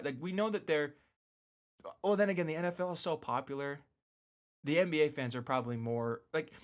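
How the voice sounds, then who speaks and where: A man hosting a casual solo sports podcast, rambling and animated, repeating phrases for emphasis with joking asides. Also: mid-range voice.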